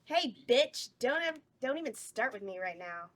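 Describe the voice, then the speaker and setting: A woman's voice: on the high side, big pitch swings, moderate pace, a bit bright. A woman playing a teasing, giggly succubus clown girl who hosts a campy horror show at a table read. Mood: angry. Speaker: actor reading a horror hostess at table read.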